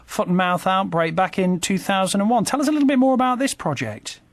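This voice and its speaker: A man's voice: high voice, animated, brisk, no room sound. A man presenting a radio interview in a studio, upbeat and effusive.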